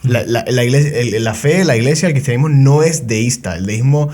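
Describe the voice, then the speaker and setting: A man's voice: medium pitch, brisk, darkish tone. Man explaining ideas. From Canary Islands. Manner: explains ideas at length, joking, self-deprecating asides. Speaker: podcast co-host. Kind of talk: casual conversation.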